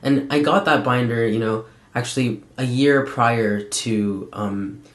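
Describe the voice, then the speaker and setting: A young man giving a casual, candid personal update in a conversational, halting way with frequent pauses. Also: medium pitch. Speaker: vlogger. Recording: echoey room sound.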